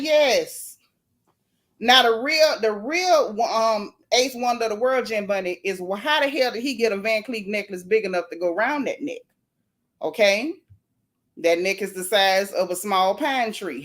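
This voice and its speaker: A woman hosts a live gossip stream from home, reading out viewer comments and firing back blunt, sassy reactions. She talks casually and animatedly, with a voice that sits slightly high. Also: fairly bright tone. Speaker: livestream gossip host.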